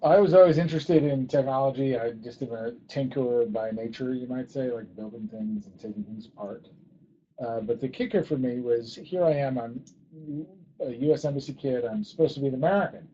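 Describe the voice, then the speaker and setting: Male interview guest, medium-pitched, conversational and emphatic, on slightly muffled lo-fi audio. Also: brisk, dark tone, slightly rough. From born in Ghana, grew up in US embassies in Southeast and East Asia.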